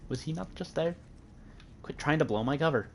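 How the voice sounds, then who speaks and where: A man's voice: fairly high pitch, animated, brisk, vocal fry, slight background noise. A young man with a Midwestern American accent giving playful, giggly commentary while streaming a game. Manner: playful, giggly, joking with chat. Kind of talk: casual gameplay commentary.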